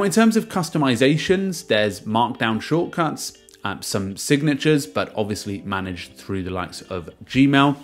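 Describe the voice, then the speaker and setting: A man presenting a casual software review as a screen-share voice-over, explaining step by step, starting sentences with emphasis and trailing off at the ends. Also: mid-range voice.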